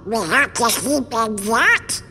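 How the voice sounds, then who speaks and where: An actor voicing an excitable male cartoon duck with a buzzing, garbled squawk in a video game. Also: very high voice, takes it slowly, in a large hall, soft background music, full character voice. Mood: puzzled. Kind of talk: video game cutscene acting.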